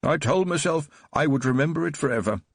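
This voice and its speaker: A man's voice: fairly high pitch, expressive, fairly quick, a little hiss or hum, voice pushed up. A male narrator voicing a frail, elderly nobleman who recalls his childhood with trembling wonder, in a storybook reading. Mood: dreamy. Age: older. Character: frail old man, tearful then joyful, noble.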